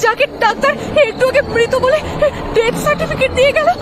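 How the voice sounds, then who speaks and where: A woman's voice: very high-pitched, a little fast. A woman voicing a terrified, shrieking, stammering village mother in a cartoon. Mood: panicked, breathless. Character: terrified village mother screaming about a ghost. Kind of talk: cartoon voice acting. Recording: loud music and crowd din behind.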